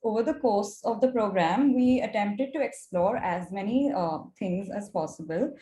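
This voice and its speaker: A woman's voice: mid-range voice, expressive, moderate pace, mellow. A young woman with an Indian accent, a native Gujarati speaker, giving a prepared presentation as a student. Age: young adult. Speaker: mentorship student presenting her project. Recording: roomy echo with clear background noise.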